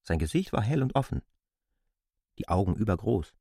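Male storyteller for a classic novel: very low, expressive intonation, husky grain, literary narration. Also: normal speed, no room sound, studio quality.

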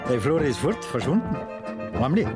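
An actor playing a scheming, jealous village suitor with a Bavarian accent, sly and insinuating. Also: male voice, on the high side, very animated, a little fast. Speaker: actor in a radio play. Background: folk music.

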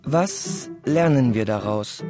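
A man narrating a scripted radio play with deliberate, dramatic storytelling. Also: medium pitch, animated, over music.

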